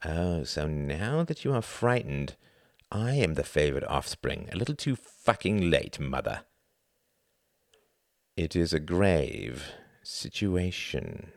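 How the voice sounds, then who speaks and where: A man playing a smug, resentful grown son in an audiobook, speaking in a dry, deliberate, self-important way. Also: extremely low pitch, big pitch swings, no room sound, full character voice. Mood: bitter, savoring.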